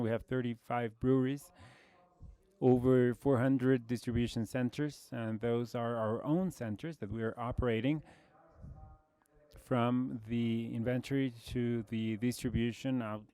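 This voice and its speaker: A man in his forties from Brazil with a Brazilian Portuguese accent, giving a measured conference presentation with frequent fillers. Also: mid-range voice, steady tone, dark tone, smooth, dry recording. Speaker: conference speaker, logistics executive.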